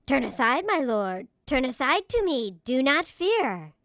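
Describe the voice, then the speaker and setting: Coaxing female tent dweller, hushed, high lilting voice, dry close sound, biblical audio drama. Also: big pitch swings, measured pace, no echo, exaggerated voice. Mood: soothing, coaxing. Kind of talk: biblical radio-theatre acting.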